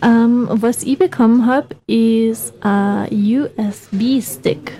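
A woman's voice: fairly high pitch, steady tone, drawn-out words, mellow. A young woman, hosting a campus radio show in casual, teasing chat. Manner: chatty, teasing, laughs often.

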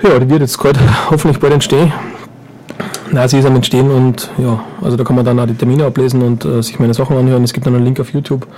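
A man's voice: medium pitch, steady tone, fast talker, mellow, slight background noise. A man with an Upper Austrian accent chatting casually as a guest in a radio studio, rambling and joking with lots of fillers. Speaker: guest performer.

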